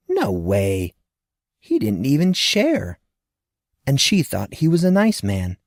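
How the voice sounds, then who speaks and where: A man's voice: medium pitch, a little mellow, dry recording, studio quality. A man narrating scripted fiction expressively, in a storybook manner that dramatizes a child's thoughts.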